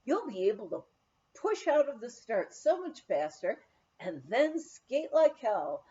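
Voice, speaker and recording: A woman reading a memoir aloud as scripted narration, with measured, expressive storytelling. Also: on the high side, lo-fi sound. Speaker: audiobook reader.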